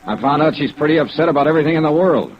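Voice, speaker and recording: An actor playing a tough, smooth middle-aged male insurance investigator who narrates his case in a clipped, wry 1950s radio style. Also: a little high, animated, racing, crowd noise. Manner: clipped, wry hardboiled delivery. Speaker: lead actor and narrator of a detective serial. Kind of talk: 1950s radio detective acting. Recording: dull, lo-fi vintage radio recording.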